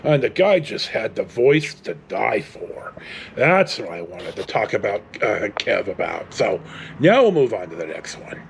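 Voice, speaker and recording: A man's voice: lowish voice, big pitch swings, measured pace, vocal fry, slight background noise. A middle-aged American man tells rambling casual stories in a blunt, salty way. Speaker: storyteller vlogger.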